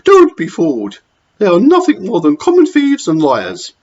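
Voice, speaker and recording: A man's voice: high-pitched, huge pitch swings, moderate pace, a little mellow, pitched above the performer's own voice, heavily acted. A man voicing a cruel, scornful female hospital matron with a sneering manner. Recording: dull lo-fi recording.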